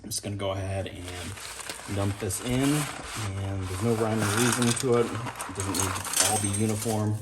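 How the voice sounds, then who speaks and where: A man's voice: on the low side, normal speed, reverberant, very noisy. A man chatting casually as he cooks in his home kitchen, laid-back and swearing now and then. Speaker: home cook vlogger. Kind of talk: casual cooking narration.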